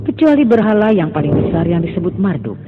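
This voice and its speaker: A man narrating a religious cartoon story in a solemn, measured way. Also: high voice, sing-song. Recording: tinny, phone-like sound with music.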